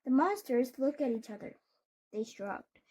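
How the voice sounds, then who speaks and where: A woman reading a children's storybook aloud in a deliberate way, with occasional stumbles. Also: high-pitched, lively intonation, darkish tone, slightly rough. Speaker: storybook reader.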